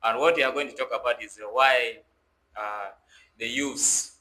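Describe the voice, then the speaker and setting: A man's voice: mid-range voice, a little slow, pushed and bright. A young man hosts a conversational podcast, often repeating phrases as he builds his points. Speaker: podcast host. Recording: roomy echo with faint background noise.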